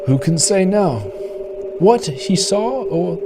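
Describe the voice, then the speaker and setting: Man playing a high-voiced frail doctor, noisy mic. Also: expressive, heavily acted. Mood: evasive, sorrowful. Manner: formal, halting, throat-clearing. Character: injured doctor, frail and apologetic, politely evasive. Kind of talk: amateur radio play acting. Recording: clearly noisy microphone feed.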